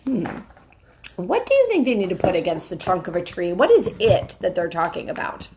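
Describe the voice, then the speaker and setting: A woman's voice: fairly low pitch, wildly swooping pitch, noisy background. A middle-aged woman, a teacher, reading a storybook aloud expressively and stopping to explain it to her listeners. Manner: deliberate, pauses to explain to listeners. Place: indoors, wood-panelled room.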